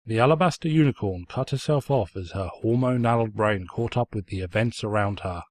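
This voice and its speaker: Guy narrating a fanfic, kinda low voice, snooty sarky storyteller, dry sound. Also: brisk, darkish tone, dry recording. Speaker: narrator, fan fiction reader.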